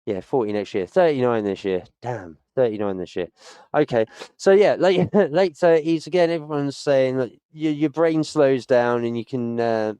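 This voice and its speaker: This is a British streamer in his late thirties, winding down a session with easygoing, meandering chat. His voice is smooth and clean, recorded dry with no room sound. His pitch sits at an ordinary middle level for a man. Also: dark tone, dry recording. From United Kingdom. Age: 30s. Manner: rambling and chatty, with many fillers and stock phrases. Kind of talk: casual sign-off chat to viewers.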